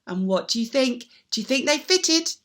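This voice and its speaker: A woman's voice: sits high, lively intonation, normal speed, fairly bright tone. A woman telling a story to young children in a theatrical, playful way, repeating words and addressing the listener.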